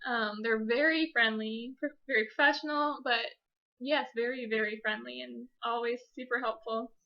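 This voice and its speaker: Young female vlogger: very bright, higher-pitched voice, chatty and self-deprecating, slightly dull lo-fi sound. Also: fairly even intonation, normal speed, gravelly. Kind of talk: casual personal vlog storytelling.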